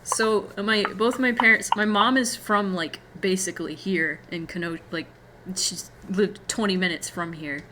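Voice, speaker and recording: A woman's voice: mid-range voice, fairly even intonation, a little slow, pushed and bright, a little hiss or hum. An American woman from Florida, a young adult, chatting casually as a streamer in a rambling, giggly way. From Florida, USA. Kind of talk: casual chat.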